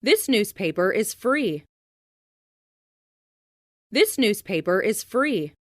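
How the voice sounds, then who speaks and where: A woman reading scripted English example sentences for language learners, enunciating carefully and deliberately. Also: medium pitch, sing-song, no room sound, clean studio sound. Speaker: English lesson narrator.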